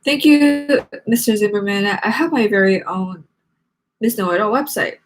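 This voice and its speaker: A woman's voice: medium pitch, moderate pace, close to an everyday voice. A woman voicing a timid, apologetic teenage schoolgirl from a storybook, nervous and polite.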